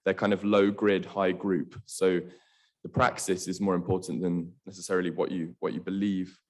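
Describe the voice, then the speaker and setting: Low-voiced Estonian-native male lecturer. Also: little pitch movement. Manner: halting, hesitant delivery. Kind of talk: online academic lecture. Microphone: video call.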